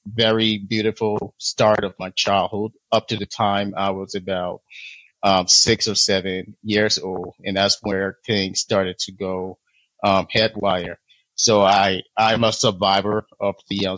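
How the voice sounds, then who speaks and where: A man from Liberia in his thirties talks as a podcast guest in a low, even voice that rarely rises or falls. He speaks in a measured way, full of small pauses and restarts. The sound is close and dry, with no room around it. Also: no room sound, poor audio. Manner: measured, many ums and restarts. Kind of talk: interview storytelling.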